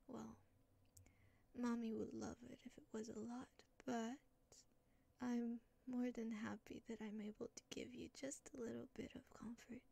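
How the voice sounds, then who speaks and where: A woman murmurs in a hushed, breathy whisper, soothing and caretaking like a comforting mother. Her delivery is very unhurried, and her voice has a mellow, slightly gravelly texture. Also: on the high side, little pitch movement. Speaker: ASMR creator. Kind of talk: ASMR roleplay.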